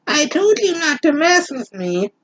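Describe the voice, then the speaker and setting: A man voicing a defiant young woman assassin in a melodramatic falsetto, reading a story aloud. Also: very animated, a little slow, fairly bright tone, muffled recording, full character voice. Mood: threatening.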